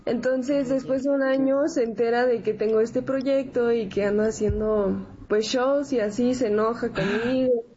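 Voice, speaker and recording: A woman's voice: on the high side, fairly even intonation, moderate pace, mellow. A woman in her twenties with a Mexican accent, explaining herself conversationally as an interview guest. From San Antonio, Texas, United States. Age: 20s. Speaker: interview guest, accordion musician. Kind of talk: casual interview chat. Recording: dull audio with background ambient noise.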